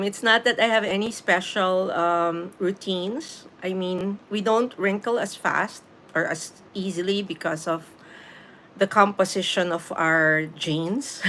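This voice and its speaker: A woman's voice: medium pitch, fairly bright tone, slight background noise, poor audio. A Filipino-accented woman in her late fifties, chatting casually with viewers on a live stream, laughing easily and answering the chat. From Philippines. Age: 50s.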